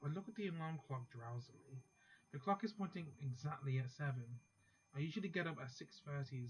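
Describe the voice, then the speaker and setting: A young man reading visual novel text aloud for a playthrough video, halting now and then to check how to say a word. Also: medium pitch, poor audio. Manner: halting read-aloud, stops to check pronunciation. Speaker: gaming YouTuber. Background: faint background music.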